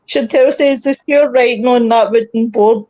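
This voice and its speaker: A woman with a fairly high voice hosts a casual live chat show. She tells rambling, animated stories, swears freely and reads out comments as she goes. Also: normal speed.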